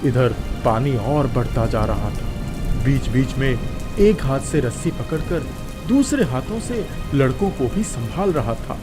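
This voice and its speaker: A man's voice: medium pitch, expressive, unhurried, music in the background. A man narrating a scripted children's moral story as a storyteller.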